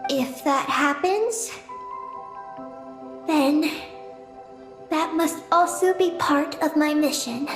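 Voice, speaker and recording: A woman voicing an earnest, determined young girl in a game, speaking in a solemn, measured way. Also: sits high, roomy sound, faint music behind. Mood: resolute. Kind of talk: dramatic game voice acting.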